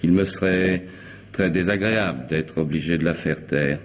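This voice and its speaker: An actor playing a coolly polite, intelligent hired killer in a radio mystery drama, speaking deliberately and sardonically, with commanding theatrical pauses. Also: male voice, sits low, close to an everyday voice. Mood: cold, commanding. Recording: noisy old radio drama recording.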